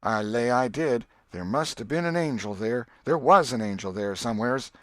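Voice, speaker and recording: An excitable old aunt from the rural American South, acted out by a male audiobook reader, raised in pitch and emphatic. She is pious, gullible and fond, exclaiming and scolding in folksy country talk. The sound is close and dry. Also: moderate pace, no echo, natural voice. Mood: excited, insistent. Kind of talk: audiobook character voice.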